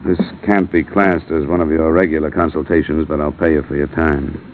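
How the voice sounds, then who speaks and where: An actor playing a wry, hard-boiled insurance investigator, clipped and dry, in 1950s radio detective style. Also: male voice, rumbling low, flat delivery, brisk, noisy background. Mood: pressing, skeptical. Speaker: lead actor and narrator of a radio drama. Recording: narrow-band vintage radio recording, music behind.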